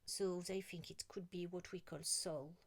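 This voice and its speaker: A middle-aged woman talking slowly and rambling to her viewers, often trailing off into a whisper. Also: on the low side, steady tone, slightly rough. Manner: slow, rambling, musing, often drops to a whisper. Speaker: vlogger. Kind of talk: casual monologue to camera.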